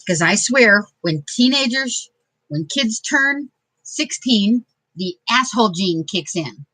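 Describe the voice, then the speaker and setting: A woman's voice: fairly high pitch, expressive, slow pace, bright, forceful tone, no room sound, poor audio. Chatty middle-aged woman with dry sound. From Detroit, USA. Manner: chatty, laughing, self-mocking humor. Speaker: craft vlogger. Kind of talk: casual storytelling while crafting.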